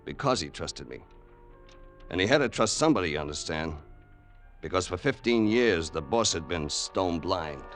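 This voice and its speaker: A man's voice: low voice, lively intonation, moderate pace, over music. An actor playing a loyal, regretful henchman in an old radio crime drama, with an American accent and slangy tough-guy speech. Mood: remorseful, confiding. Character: loyal, remorseful racketeer's henchman, plain-spoken tough guy. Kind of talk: old-time radio drama acting and narration.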